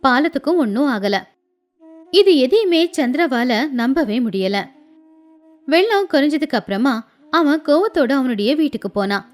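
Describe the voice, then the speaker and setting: A female storyteller reads scripted narration for a cartoon fable. Her voice is high and very varied in pitch. Music plays clearly underneath. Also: very animated, normal speed.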